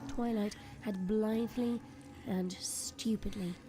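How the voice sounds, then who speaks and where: A woman's voice: medium pitch, fairly even intonation, slow, warm tone. Woman narrating drama over music. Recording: music behind the voice. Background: clear background music.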